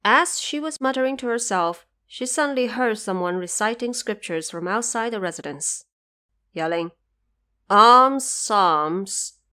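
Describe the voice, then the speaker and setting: Female narrator for an audio drama, measured and even delivery. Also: fairly high pitch. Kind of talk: scripted storytelling narration.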